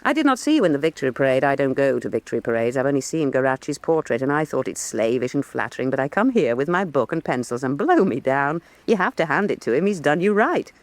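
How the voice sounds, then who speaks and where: Blunt, animated, defiant woman painter. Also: very deep voice, quick pace, hardly any pauses, dry recording, full character voice. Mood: blunt, sardonic. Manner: combative, mocking, emphatic declarations. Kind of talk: full-cast historical radio drama acting.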